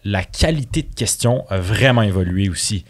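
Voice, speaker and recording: Candid low-voiced Quebec man chatting. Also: animated, moderate pace. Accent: Quebec French. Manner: candid, slangy, peppers French with English words. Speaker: podcast guest. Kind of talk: casual podcast chat.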